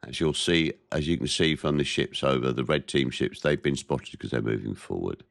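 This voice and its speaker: A man with a head cold, sounding nasal and snotty, giving steady play-by-play commentary over a naval battle game. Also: extremely low pitch, creaky, no echo, studio quality. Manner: measured, plain-spoken play-by-play. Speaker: gameplay commentator.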